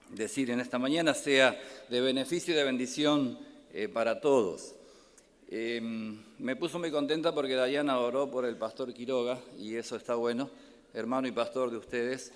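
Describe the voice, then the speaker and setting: A man from Córdoba, Argentina, with a Córdoba accent, preaching a sermon as a pastor in a gentle way, pausing often on "eh". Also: medium pitch. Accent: Argentine Spanish, Córdoba. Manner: gentle, warm-hearted, many filler "eh" pauses. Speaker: pastor and Bible professor. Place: large church hall. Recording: long hall reverb.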